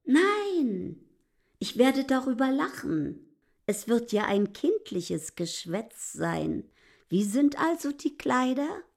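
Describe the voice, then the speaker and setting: A woman's voice: sits high, very animated, takes it slowly, vocal fry, a little mellow, exaggerated voice. An actress playing a haughty, suspicious inn landlady in a literary radio drama, talking in an imperious, probing, mocking way. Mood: condescending.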